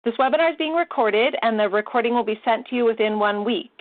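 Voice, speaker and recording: A woman's voice: a little high, quick pace. A woman hosting a webinar, introducing the presenter in a polite, slightly hesitant way with frequent fillers. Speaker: webinar host. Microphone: web call audio. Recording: tinny phone-like audio.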